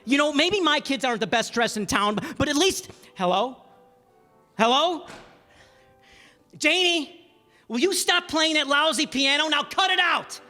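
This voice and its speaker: A man acts a family man at the end of his rope in a radio drama. He speaks in a high, frayed voice, halting and repeating words. A long hall reverb surrounds him. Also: expressive, bright, forceful tone, faint music behind, exaggerated voice. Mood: agitated, angry. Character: desperate, frayed family man at breaking point. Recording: echoey hall, stage reverb.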